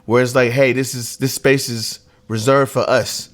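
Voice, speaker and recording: A man's voice: medium pitch, fairly even intonation, normal speed. Reflective man talking casually on a podcast. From United States. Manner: reflective, hesitant with fillers. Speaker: podcast co-host. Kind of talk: casual discussion.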